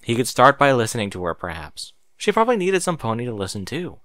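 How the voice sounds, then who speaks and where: Male narrator for fan fiction: animated pitch, forward bright tone, sardonic storyteller, dry close recording. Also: fast talker, dry recording. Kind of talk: dramatic storybook narration with casual sarcastic asides.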